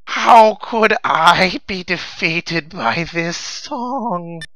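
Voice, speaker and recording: A man playing a menacing alien video game boss who declaims grandly in slow, drawn-out, theatrical phrases. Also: sits high, pushed and bright, no echo, pitched above the performer's own voice, full character voice. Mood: defeated, vengeful.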